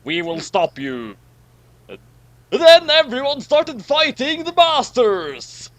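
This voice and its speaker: A man's voice: very high-pitched, wildly swooping pitch, slow, fairly bright tone, no echo. A man doing a dramatic, comic reading of badly written fan fiction, often stumbling over the wording.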